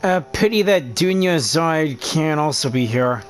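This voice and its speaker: A woman's voice: deep voice, lively intonation, a little slow. A woman doing casual let's play commentary as a gaming YouTuber, reading some dialogue aloud. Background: music.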